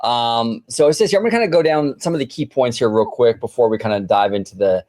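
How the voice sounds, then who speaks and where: Male host, medium pitch, for an informal news chat; chummy, leans on repetition to make points. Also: fast, a little mellow. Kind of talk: casual financial news discussion.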